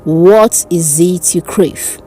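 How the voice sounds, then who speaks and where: A woman voicing an eerie, mocking sorceress who sells dreams in a dark folk tale, purring and cryptic. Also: on the low side, soft background music. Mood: cold, teasing. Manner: purring, slow, bored, cryptic.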